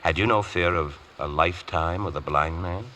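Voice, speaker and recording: Deep, forceful barrister. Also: male voice, normal speed. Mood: probing, insistent. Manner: formal, oratorical, pressing. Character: eloquent, intense male defence barrister pleading in court. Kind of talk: 1940s-50s radio courtroom drama acting. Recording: faintly noisy vintage radio recording.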